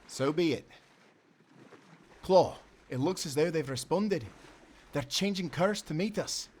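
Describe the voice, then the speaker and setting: A loyal, teasing Scottish sailor, played by a man in a nautical audio adventure. His voice sits on the higher side for a man. He speaks with confidence and jaunty sea dialect. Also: lively intonation, unhurried, a little mellow. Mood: reassuring. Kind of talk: cinematic audio adventure acting.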